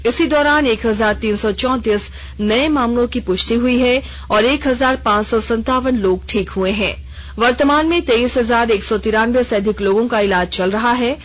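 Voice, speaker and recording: A woman newsreader reading a formal regional radio news bulletin in a measured, even way. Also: on the high side. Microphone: studio microphone. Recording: dull lo-fi radio broadcast.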